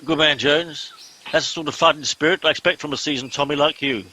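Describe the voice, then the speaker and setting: A man playing a pompous middle-aged captain in a comedy radio play, brisk, officious and commanding. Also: on the high side, steady tone, sharp tone, a little hiss or hum.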